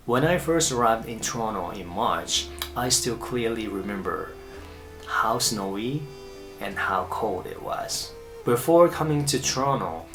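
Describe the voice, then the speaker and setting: Low-voiced man in his thirties from Japan, careful scripted vlog narration over quiet guitar. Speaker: English coach.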